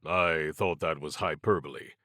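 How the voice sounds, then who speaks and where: A man's voice: a bass voice, fairly even intonation, normal speed, sharp tone, no echo, studio quality, pitched below the performer's own voice. A man voicing a dogged, laconic male federal agent, terse and understated, in a noir audiobook. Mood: grim, questioning. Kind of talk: audiobook character dialogue.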